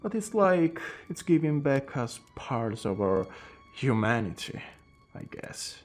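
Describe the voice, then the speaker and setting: A man playing a courteous, calm and wry centuries-old vampire in an ASMR roleplay, speaking in a hushed voice that drifts into whispers with theatrical pauses. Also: mid-range voice, slight background noise. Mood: grave, intrigued. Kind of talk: ASMR roleplay addressed to the listener.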